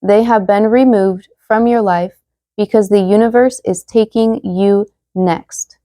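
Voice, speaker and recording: A young woman giving a casual tarot reading to viewers, chatty and full of fillers, slightly hoarse as she loses her voice. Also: medium pitch, fairly even intonation, a little slow, dark tone, no room sound, studio quality. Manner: chatty, many fillers, reads card messages aloud. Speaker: tarot reader.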